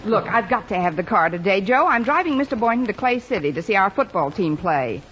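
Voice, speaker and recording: Wry American schoolteacher, lively intonation. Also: female voice, mid-range voice, brisk. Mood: resigned, wry. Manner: dry, sardonic. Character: wry, wisecracking woman schoolteacher, long-suffering. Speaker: lead actress and narrator. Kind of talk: vintage American radio comedy acting. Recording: noisy muffled old radio recording.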